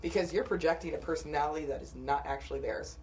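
A young woman co-hosting a podcast, telling dating stories in a casual, animated way and laughing often. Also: low voice, fast talker. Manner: animated storytelling with frequent laughter. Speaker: podcast co-host. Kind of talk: casual chat.

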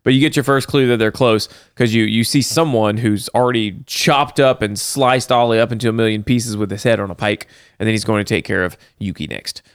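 A man co-hosting a casual podcast, recapping a movie with lively, laughing delivery. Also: on the low side, a little fast, clear, resonant tone, dry recording, studio quality. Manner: animated, laughing, talks through the plot. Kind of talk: casual chat.

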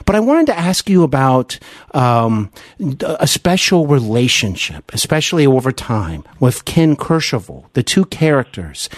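A man's voice: medium pitch, no echo, studio quality. A man hosting a podcast interview, asking earnest, effusive questions in a hesitant, roundabout way. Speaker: podcast host interviewing a guest.

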